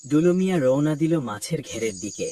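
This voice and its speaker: A man's voice: on the high side, animated, brisk, mellow, recorded from a distance, slight background noise, close to an everyday voice. A man narrating a village folk tale cartoon in a scripted storybook style. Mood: storytelling.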